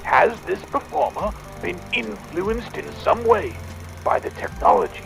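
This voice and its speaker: A man playing a fussy, excitable Victorian gentleman inventor, speaking a pompous, wordy audio diary in a theatrical drama. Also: high voice, measured pace, gravelly, soft background music, exaggerated voice. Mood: pondering. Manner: pompous, wordy, hesitant musing.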